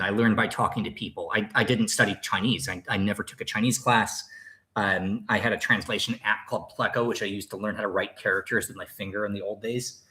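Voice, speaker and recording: A man's voice: fairly low pitch, fairly bright tone. A man in his thirties hosting a casual livestream, answering viewer questions in a rambling, chatty way full of fillers and restarts. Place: home in Thailand.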